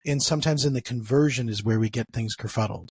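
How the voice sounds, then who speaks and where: Male podcast host, husky mid-range voice, dry close sound, loose rambling delivery. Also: medium pitch, fast, a little mellow, no echo. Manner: rambling, restarts sentences, uses analogies. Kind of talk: casual interview chat.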